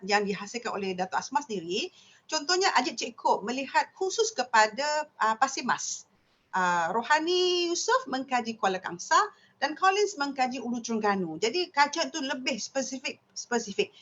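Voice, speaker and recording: A woman with a Malaysian Malay accent giving an academic lecture, pausing often and correcting herself mid-phrase. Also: fairly high pitch, a bit bright. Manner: frequent hesitations and self-corrections. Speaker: lecturer in linguistics. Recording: dull lo-fi audio.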